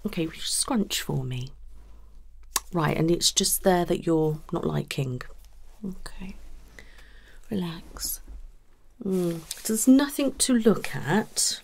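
A British woman in her thirties speaking softly and reassuringly in an ASMR consultation roleplay, close to the microphone. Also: lowish voice, animated, creaky, husky. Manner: reassuring, unhurried, conversational questioning. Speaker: ASMR performer playing a cosmetic surgery consultant. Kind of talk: ASMR roleplay consultation, soft-spoken with some whispering. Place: small indoor room set up as a clinic.

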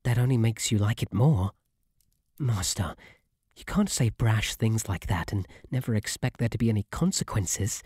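A man with a British accent playing a shy, devoted, submissive bunny butler in an intimate ASMR roleplay, softly spoken with a formal, deferential, faltering manner. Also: fairly low pitch, moderate pace, husky, no echo, studio quality. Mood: embarrassed, shy. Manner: flustered, deferential, formal, stammering. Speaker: roleplay audio performer. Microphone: close, dry microphone.

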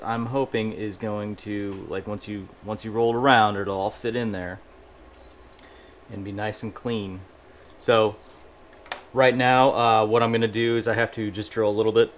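A man's voice: on the low side, fairly even intonation, moderate pace, a little hiss or hum. A man explaining a hands-on build casually and informally, thinking aloud as he goes in a garage workshop. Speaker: host of a motorcycle build channel. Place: small garage workshop, indoors.

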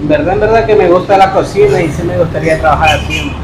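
A young man playing a poor young worker who is soft-spoken and tearful, in a staged charity video. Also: high-pitched, noisy background, close to an everyday voice. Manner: quiet, halting, emotional. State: crying. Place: indoor room. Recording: echoey room with music behind.

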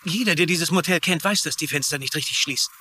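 An actor playing an earnest small-town sheriff, matter-of-fact and questioning, in a dubbed crime series. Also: male voice, on the high side, fast talker, bright, forceful tone, slight background noise. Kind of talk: dubbed TV crime drama dialogue.

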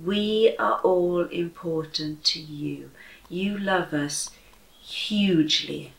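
A woman speaks slowly and deliberately, as if to children in a church story time. Her voice sits a little low for a woman, with expressive, careful phrasing. The room adds a clear echo around her words. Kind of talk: slow expressive read-aloud and prayer for children. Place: bright room at home by a window, indoors. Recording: roomy echo.

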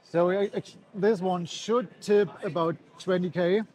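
Shop staff, man, slightly high voice, calm explainer, expo hall. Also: unhurried, soft background music. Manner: calm, informative, a few fillers. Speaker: bike shop staff, guest. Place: busy indoor bike expo hall.

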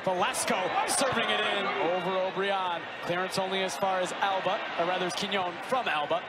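A man calling a soccer match live as play-by-play commentator, with excitable, exclamatory delivery. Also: high voice, a little fast. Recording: loud stadium crowd and noise behind. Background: roaring stadium crowd.

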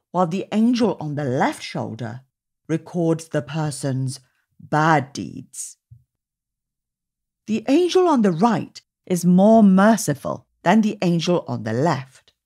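A woman reading aloud to young children as a storytime host, with expressive, deliberate phrasing. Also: fairly low pitch, husky. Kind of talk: read-aloud narration for children.

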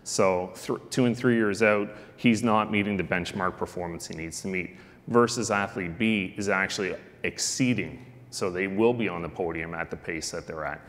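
A middle-aged man presents at a conference lectern in a very low voice, speaking conversationally and pausing on ums and uhs. His voice rings out with a long reverb through a large hall. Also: fairly bright tone. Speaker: keynote speaker on sports analytics. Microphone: lectern microphone.

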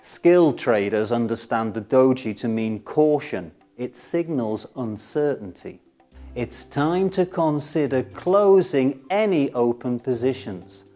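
A middle-aged man presenting scripted instructional narration in a measured way, with deliberate pauses for emphasis. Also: mid-range voice, animated, warm tone. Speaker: presenter of an educational film. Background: background music.

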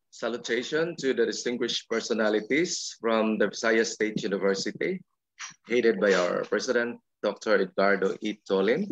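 A man with a Filipino accent makes formal opening acknowledgments in a mid-range voice. He sets out names and titles deliberately, and the recording is dry but slightly dull. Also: mid-range voice, no echo. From Philippines. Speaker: education supervisor giving opening greetings. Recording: dull lo-fi audio.

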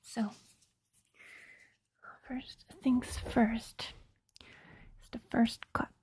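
Girl in her 20s doing asmr, super slow whispery voice, gentle and reassuring, right up on the mic. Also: a little high, little pitch movement. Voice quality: breathy whisper. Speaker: ASMR roleplayer playing a surgeon. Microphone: close mic, speaking through a surgical mask.

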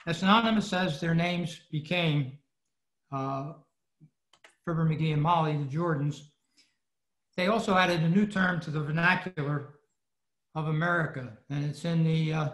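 An older man presents informally to a club over a video call. His voice sits a bit high for a man, and the room echoes noticeably around it. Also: moderate pace, long pauses, fairly bright tone. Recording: roomy, echoing video call audio.

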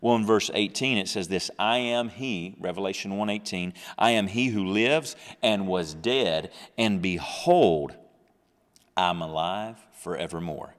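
Earnest man in his thirties, low voice, Bible teacher at a desk mic, some lingering reverb. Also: a little slow. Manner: earnest, reads scripture with swelling emphasis. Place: small studio with a dark backdrop. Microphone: large desk microphone close up.

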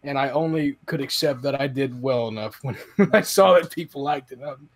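A man, a voice actor, chatting casually and earnestly in a halting, self-effacing way. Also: mid-range voice. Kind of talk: casual personal anecdote.

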